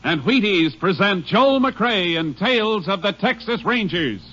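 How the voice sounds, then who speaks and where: A man's voice: high voice, expressive, measured pace, music in the background. An American man announcing in the style of 1950s network radio. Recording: muffled vintage radio recording.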